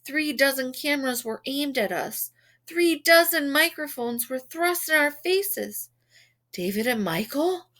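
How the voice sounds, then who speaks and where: Woman storyteller for a children's novel, slightly high voice, expressive scripted read. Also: unhurried. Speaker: read-aloud reader. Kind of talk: expressive children's book narration.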